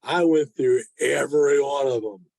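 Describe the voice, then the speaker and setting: A man's voice: mid-range voice, steady tone, normal speed, creaky, a little mellow, dry recording. An older man in his seventies presenting informally to a club on a video call, with a wry, self-deprecating manner. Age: 70s. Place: online video meeting.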